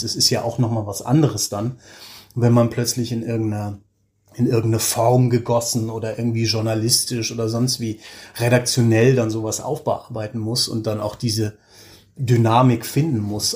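A man in his forties chatting casually as an interview guest, telling things with animated enthusiasm. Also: on the low side. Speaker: interview guest, podcaster and audio drama creator.

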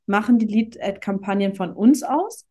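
Mellow-voiced woman explaining casually. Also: medium pitch, moderate pace. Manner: informal, explains with examples and tag questions. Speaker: interview guest, marketing company managing director. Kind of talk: casual explanatory interview talk.

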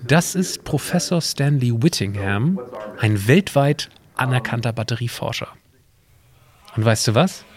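A man's voice: mid-range voice, lively intonation, a little slow. A man hosting a semi-scripted audio play, explaining things to a companion and to listeners. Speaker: podcast host and co-creator of an exhibition audio play.

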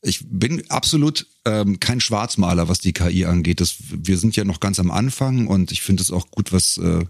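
A man's voice: sits low, animated, normal speed, creaky, few pauses, no room sound, clean studio sound. A middle-aged man talking casually and reflectively as an interview guest, feeling his way through phrases. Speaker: guest, professional voice actor.

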